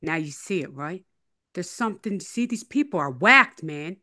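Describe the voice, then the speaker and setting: A woman's voice: fairly low pitch, lively intonation, moderate pace, pushed and bright. A middle-aged woman chatting casually as a guest on a video call, teasing and insistent, repeating herself. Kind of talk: casual chat. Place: living room on a sofa, on a video call. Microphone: device mic at some distance, video call.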